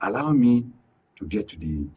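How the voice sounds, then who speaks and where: A Nigerian man speaking as a studio guest, giving emphatic, deliberate political analysis in a radio discussion and often repeating phrases. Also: lowish voice, very animated, husky, dull audio.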